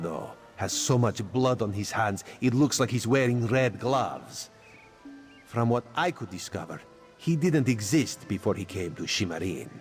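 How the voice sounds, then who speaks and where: An actor playing a sly, catlike male spymaster in a fantasy video game, who talks about himself in the third person in a hushed, conspiratorial way. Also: on the low side. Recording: game audio with faint music.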